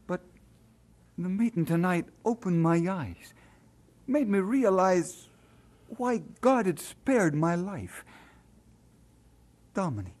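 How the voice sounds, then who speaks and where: A man's voice: a little high, a little mellow, heavily acted. An actor playing an earnest, modest young Scottish man with a hesitant, sincere manner in an old radio drama. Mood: earnest, searching.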